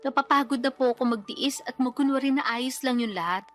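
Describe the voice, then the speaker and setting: A weary young single mother, bitter, slightly high pitch, soft score beneath. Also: brisk. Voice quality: weary, complaining, defensive. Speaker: the letter sender in the scene. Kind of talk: Tagalog radio drama acting. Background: faint background music.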